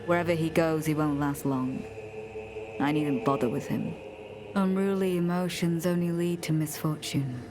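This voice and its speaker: An actress playing a courtly, coldly resolute zealot knight in a fantasy game, with formal, measured, archaic diction. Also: female voice, low voice, fairly even intonation, lightly acted. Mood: composed. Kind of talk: dramatic fantasy game acting. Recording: loud music behind the voice. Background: game music.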